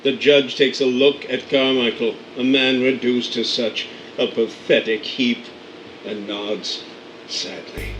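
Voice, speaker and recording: A man's voice: mid-range voice, fairly even intonation, slow, fairly bright tone, reverberant, far from the mic, slight background noise, low-quality recording. A man giving scripted narration of scene directions, with a dramatic, wry storytelling manner.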